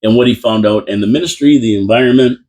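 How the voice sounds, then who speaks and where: A man's voice: medium pitch, fast, a little mellow. A man hosting a live local radio talk show from a small studio, chatty and rambling with lots of restarts. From Canada. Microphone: studio microphone.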